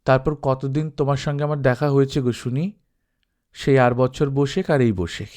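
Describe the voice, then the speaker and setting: A shy young village bride from a storybook, read by a man. The manner is coy and affectionate, with gentle, playful teasing. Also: mid-range voice, normal speed, lightly acted. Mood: playful, curious. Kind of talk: storybook dialogue.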